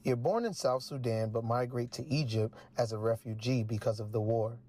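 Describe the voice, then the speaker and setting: A man's voice: mid-range voice, moderate pace, mellow. A man hosting an interview show, speaking in a presenter's style of narration and host talk.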